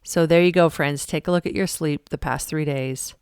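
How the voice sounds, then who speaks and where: A woman's voice: sits low, fairly even intonation, normal speed, darkish tone, smooth, dry recording, studio quality. A middle-aged woman hosting a fitness podcast, talking in a chatty, emphatic way and laughing often. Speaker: podcast host and fitness coach. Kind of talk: casual motivational talk.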